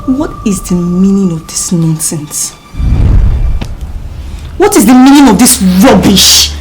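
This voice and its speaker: A woman's voice: high voice, big pitch swings, moderate pace, background noise. An actress with a Nigerian accent playing an indignant, defiant woman who will not back down, in a melodramatic film with music underneath. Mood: indignant, outraged. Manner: indignant, challenging, repetitive.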